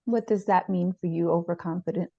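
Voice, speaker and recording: A woman playing a gentle, gravelly therapist. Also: on the low side, steady tone, dry recording, lo-fi sound. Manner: measured, questioning, gentle. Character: calm, probing female therapist. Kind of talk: improvised dramatized therapy session.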